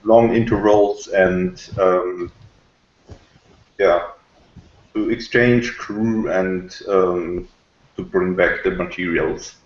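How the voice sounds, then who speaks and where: A man reviewing on a podcast in casual discussion, talking in a halting, argumentative way. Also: deep voice, steady tone, reverberant, highs cut off.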